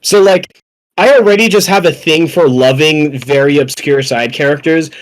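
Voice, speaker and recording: A young man with a notably high voice talks on a casual podcast. He is excitable and slangy, swearing freely as he goes. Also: moderate pace, low-quality recording. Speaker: podcast panelist.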